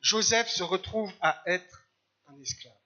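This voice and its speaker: A man from Algeria preaching a church sermon in short phrases, pausing for an interpreter. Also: sits high, sharp tone, dull audio. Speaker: guest preacher. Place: church service.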